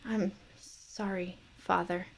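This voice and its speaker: A woman's voice: on the low side, fairly even intonation, a little slow, creaky. A woman voicing a wounded, vengeful young male swordsman, speaking in a grim, solemn way. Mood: weak, pleading. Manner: grim, repeated solemn vow.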